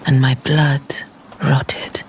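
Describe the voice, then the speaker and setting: Undefeated warrior demigoddess, solemn, hushed, slow and flat delivery, weary pride, fantasy game. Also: female voice, exaggerated voice. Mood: mournful, weary. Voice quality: low, breathy, hushed. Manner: solemn, measured, restrained. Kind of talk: dramatic fantasy game acting. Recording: noisy ambience behind the voice.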